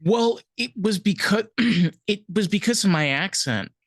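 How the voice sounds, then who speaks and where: A woman with a New York accent co-hosting a podcast, animated and playfully defensive, stumbling over quick restarts. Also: mid-range voice, husky, dry recording, clean studio sound. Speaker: podcast co-host. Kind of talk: casual chat.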